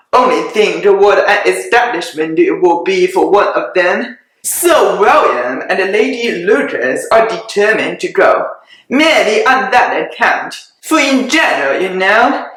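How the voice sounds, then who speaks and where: A reader voicing a fussy, excitable middle-aged mother who pleads and frets, in a dramatic reading. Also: medium pitch, measured pace. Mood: urging. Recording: very echoey room.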